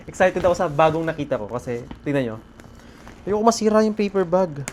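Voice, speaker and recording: A man with a Filipino accent vlogging casually about his day, speaking in an excitable, appreciative way. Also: a little high, fairly quick. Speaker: motorcycle vlogger. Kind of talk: casual vlog talk to camera. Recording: noisy background ambience.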